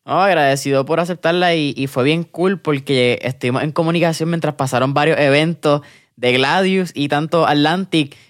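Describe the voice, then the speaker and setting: Upbeat podcast host, dry sound. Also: male voice, on the high side, moderate pace, dry recording. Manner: relaxed, mixes English words into Spanish. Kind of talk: casual interview.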